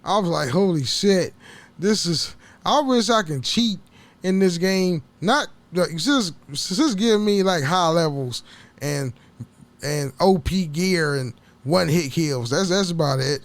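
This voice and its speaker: High-pitched man chatting, rambling and stammering. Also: animated, slow pace. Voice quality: voice prone to cracking. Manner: rambling, stammering, repeats words; frequent casual swearing. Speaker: podcast host. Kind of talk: casual solo chat.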